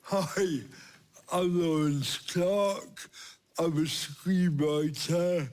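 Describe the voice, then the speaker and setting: A man's voice: on the high side, fairly even intonation, extremely slow, darkish tone. A middle-aged man introducing himself as a panelist at a live event. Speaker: screenwriter and panelist.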